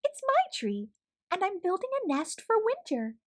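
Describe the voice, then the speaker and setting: A woman acts out a little gray girl mouse from a picture book. She is dainty and talkative, pitched very high with lively swoops, and easily startled. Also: moderate pace, heavily acted. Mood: indignant, fretful.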